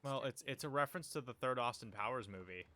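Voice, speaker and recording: A man's voice: mid-range voice, fast, pushed and bright. A man streaming a video game from home on a desk mic, chatting casually and rambling with fillers and little jokes. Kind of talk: casual live-stream chat. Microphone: desk microphone.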